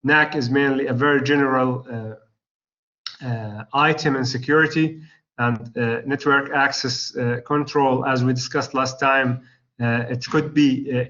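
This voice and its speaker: Hoarse lecturing man, halting delivery. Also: mid-range voice, a bit bright. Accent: Arabic. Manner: halting, many filler sounds and restarts. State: losing his voice. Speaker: university lecturer. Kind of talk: classroom lecture. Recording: slightly dull lo-fi recording.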